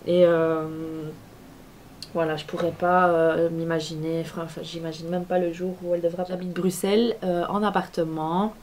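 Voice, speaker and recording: A woman's voice: lowish voice, level tone, moderate pace, a little mellow, a little hiss or hum. A woman, chatting to camera as a beauty vlogger, full of fillers and restarts. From Belgium. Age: 20s. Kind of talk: casual talk to camera. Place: apartment at home.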